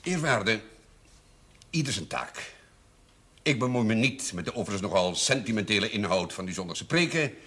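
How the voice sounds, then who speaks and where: A man's voice: on the low side, big pitch swings, normal speed, pauses often, a bit bright, slight background noise. An older man playing a pompous, sardonic, shrewd village notary and moneylender in a television drama, speaking in formal, ironic phrases. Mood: smooth, condescending. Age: older, over 50. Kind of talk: theatrical television drama dialogue.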